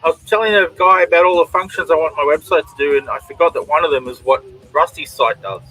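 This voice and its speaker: A man's voice: fairly high pitch, quick pace, sharp tone, husky, soft background music, poor audio. A man with an Australian accent hosting a drawing stream, chatting casually and reading out viewers' comments. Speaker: comic artist and stream host.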